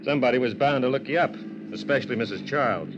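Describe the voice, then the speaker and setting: An actor playing a brash, cynical American police sergeant in a 1950s radio drama, breezy and sardonic with punchy phrasing. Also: male voice, medium pitch, quick pace, natural voice. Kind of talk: 1950s radio detective acting. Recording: dull, noisy vintage radio recording.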